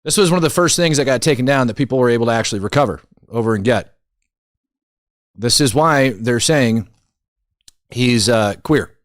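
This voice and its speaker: A man's voice: mid-range voice, animated, normal speed, pauses often, no echo, studio quality. A man hosting a commentary show, talking casually and conversationally with incredulous emphasis and repeated phrases.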